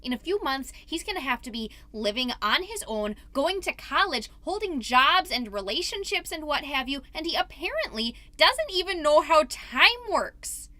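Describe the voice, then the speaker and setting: Woman playing a bright, high-voiced exasperated suburban mom. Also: big pitch swings, moderate pace, hardly any pauses, no echo, full character voice. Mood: exasperated, fretting. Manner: chatty, dramatic, folksy minced oaths. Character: middle-aged suburban mom podcaster, exasperated, chirpy, doting, wholesome. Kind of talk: scripted comedic podcast monologue.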